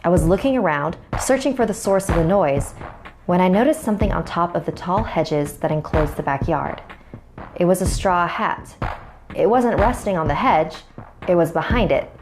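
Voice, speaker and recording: A woman's voice: on the low side, animated, moderate pace, noisy background. A woman narrating a scripted first-person horror story as a storyteller. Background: music.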